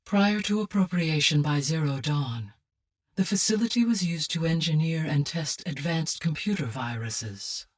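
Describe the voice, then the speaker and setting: A benevolent artificial intelligence from a video game. Her female voice is slightly breathy and sounds a little removed from the mic. She explains things calmly, in formal, exact, measured sentences. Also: deep voice, recorded from a distance, heavily acted. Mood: composed, explanatory. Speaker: game character, advisor. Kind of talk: scripted video game dialogue.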